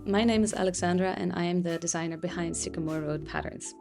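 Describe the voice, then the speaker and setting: A woman whose first language is Dutch, a pattern designer calmly explaining a sewing project step by step with occasional fillers. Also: on the low side, fast, gravelly, soft background music. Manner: calm, explanatory, with frequent "uh" fillers. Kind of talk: sewing tutorial.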